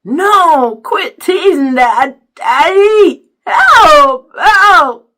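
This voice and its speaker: A woman's voice: very high voice, expressive, drawn-out words, pushed and bright, voice pushed up, full character voice. A woman voicing a panicked crybaby older boy, mumbling as if his mouth were stuck, in an audiobook story. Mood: tearful pleading. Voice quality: muffled, mumbling as if mouth full.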